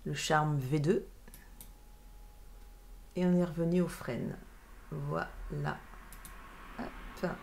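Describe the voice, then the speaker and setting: A woman's voice: deep voice, lively intonation, slow, creaky, pauses often, darkish tone, a little hiss or hum. Chatty woman in her late thirties. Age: late 30s. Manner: chatty, reacting to viewer messages. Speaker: livestream co-host. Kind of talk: casual livestream chat. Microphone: webcam livestream.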